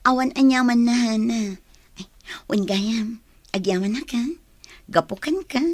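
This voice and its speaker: A woman's voice: on the high side, a little slow, dry recording. A woman with a Filipino accent playing a grateful, earnest young graduate in a radio drama. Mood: grateful, hopeful. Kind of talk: Ilocano radio drama acting.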